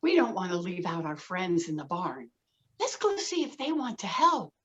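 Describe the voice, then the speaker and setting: A woman voicing an excited, bubbly mother hen with a squealing, gushing manner, in a slightly dull lo-fi recording. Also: lowish voice, moderate pace, pitched below the performer's own voice.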